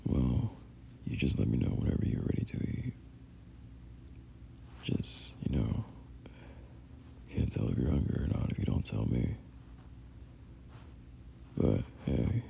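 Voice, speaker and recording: Very deep, gravelly, murmuring boyfriend acted by a man. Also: very animated, measured pace, pauses often, mellow, slight background noise, full character voice. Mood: tender, soothing. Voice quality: breathy, half-whispered, gravelly low murmur. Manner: soothing, hesitant, murmured reassurance. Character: gentle, doting boyfriend comforting an unwell partner. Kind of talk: boyfriend ASMR roleplay.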